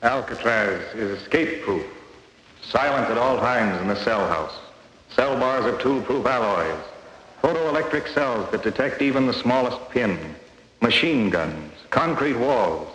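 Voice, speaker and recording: An actor playing a stern, boastful official making clipped, emphatic declarations in a 1940s radio drama, on an echoey old recording. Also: male voice, medium pitch, animated, unhurried, a bit bright. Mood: stern, certain.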